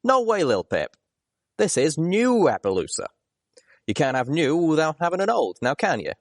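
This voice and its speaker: A man's voice: a little high, sing-song, moderate pace, no echo, pitched above the performer's own voice. A loyal cowboy pony companion from a storybook , voiced by a man, folksy and teasing. The country talk rises and falls freely, recorded dry and close. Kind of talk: character voice in a storybook reading.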